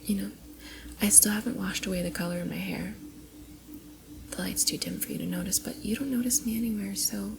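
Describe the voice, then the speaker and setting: Casting: woman to play young cosplayer girl for intimate ASMR roleplay; flustered, teasing, affectionate, medium pitch with creaky edges. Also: a little fast, long pauses, background noise, natural voice. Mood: soft, coy. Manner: giggly, coy, often dropping into soft breathy murmurs. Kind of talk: ASMR roleplay, intimate one-sided dialogue to the listener.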